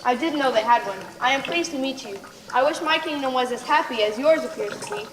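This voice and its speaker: A woman's voice: high-pitched, moderate pace. A young teenage girl playing a stately, sad old male sultan in a school stage play, speaking formally. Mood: gracious, wistful. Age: young teen. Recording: echoey hall from far off, loud noise.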